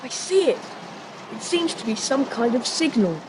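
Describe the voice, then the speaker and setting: An actor playing a sensible, confident schoolboy who leads young adventurers in a children's audiobook drama, speaking briskly. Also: medium pitch, sing-song, background noise, close to an everyday voice. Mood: brisk, intrigued.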